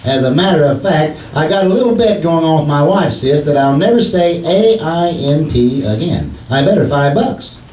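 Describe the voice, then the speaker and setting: A man's voice: on the high side, lively intonation, normal speed, few pauses, a little mellow, natural voice. A man playing a cocky, bumbling husband with slangy, mock-pompous talk, in 1940s radio comedy style. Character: blustery, cocky, folksy husband, bad at grammar. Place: theater stage before an audience. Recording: echoey live stage, distant mic, noise.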